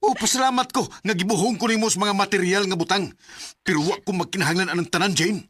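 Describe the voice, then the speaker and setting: A man with a Filipino Bisaya accent playing a smooth-talking young boyfriend in a radio drama, with a slightly husky voice. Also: sits high, fast, a bit bright, dry recording, clean studio sound. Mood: defensive, firm.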